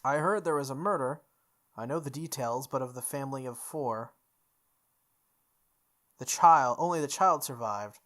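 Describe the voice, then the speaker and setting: A man voicing a serious, formal male high school student in a visual novel. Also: moderate pace, pauses often, fairly bright tone, lightly acted. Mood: grave gossip.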